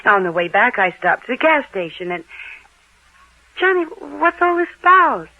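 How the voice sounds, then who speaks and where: Flustered young American wife, evasive and stammering, animated pitch, vintage radio drama on a narrow telephone-like recording. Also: female voice, normal speed, no echo. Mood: reluctant, flustered. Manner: hesitant, repeating and stammering. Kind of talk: old-time radio drama acting.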